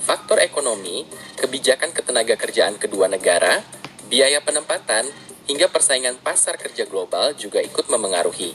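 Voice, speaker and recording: A man reads news copy in a steady, formal way at a middling pitch. Faint music plays underneath. The delivery stays even and measured throughout. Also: poor audio. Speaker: news narrator. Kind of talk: scripted news narration.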